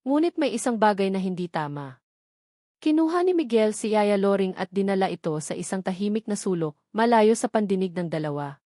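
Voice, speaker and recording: A woman's voice: medium pitch, lively intonation, a little fast, mellow, dry recording, studio quality. A woman with a Filipino accent reading a scripted story as a dramatic audiobook narrator.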